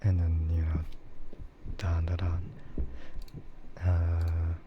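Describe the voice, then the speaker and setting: A man streaming soft, breathy ASMR chat, hesitant and unhurried, often dropping into a whisper. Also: low voice, monotone. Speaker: streamer, VTuber. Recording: muffled, lo-fi, clearly noisy.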